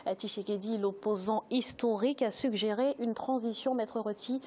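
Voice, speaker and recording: A woman's voice: a little high, steady tone, moderate pace, a little mellow, a little hiss or hum. A woman moderating a broadcast political debate, putting questions to her guests.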